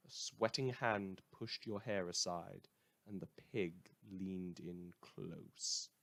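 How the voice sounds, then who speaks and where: A man hosting a scripted fictional radio show, telling it in a measured, wry and theatrical way. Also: low-pitched, gravelly, fairly bright tone.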